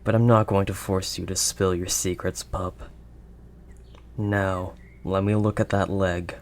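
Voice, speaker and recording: Guy playing a low voiced werewolf, pretty flat delivery, kindly and a bit awkward, audio roleplay. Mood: gentle, awkward. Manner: halting, awkward, gently protective. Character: gruff but kindly male werewolf living wild in woods. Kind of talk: audio roleplay, intimate second-person acting.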